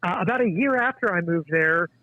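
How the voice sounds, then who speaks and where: A man in his forties, a guest author, speaking in a halting, thoughtful way with many restarts. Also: high-pitched, animated. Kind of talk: casual interview conversation. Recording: tinny dry phone line.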